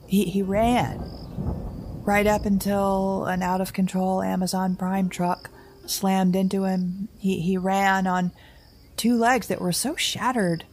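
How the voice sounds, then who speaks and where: A middle-aged actress playing an anxious, wry, weary survivor who records a halting, rambling diary in a naturalistic audio drama. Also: female voice, mid-range voice, flat delivery, a little mellow, slight background noise. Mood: haunted, grim.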